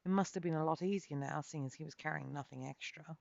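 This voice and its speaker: A woman's voice: sits low, fast, creaky, darkish tone. A woman author reading her own fantasy novel as a dramatic first-person storyteller. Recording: muffled, echoey home recording.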